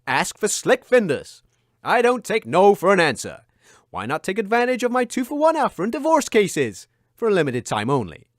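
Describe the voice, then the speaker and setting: A man puts on a sleazy divorce lawyer pitching himself in a spoof radio advert. He is brash and slick, swinging hard between low patter and loud punchy bursts, with a dry sound. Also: wildly swooping pitch, normal speed, no room sound. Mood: slick sales pitch. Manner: slick, punchy salesman delivery.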